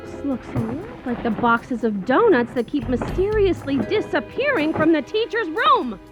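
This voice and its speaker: A woman voicing a sharp-tongued, sarcastic school cleaner in a children's audio drama. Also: high voice, very animated, normal speed, music in the background. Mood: dry, accusing. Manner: sarcastic, scolding.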